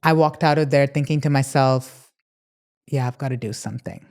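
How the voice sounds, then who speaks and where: A woman's voice: extremely low pitch, fairly even intonation, normal speed, darkish tone. A woman talking as a podcast guest in a casual interview, earnest and open, with frequent "yeah" and "you know" fillers. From grew up between Dubai and Toronto, Canada. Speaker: podcast guest, emotional eating coach.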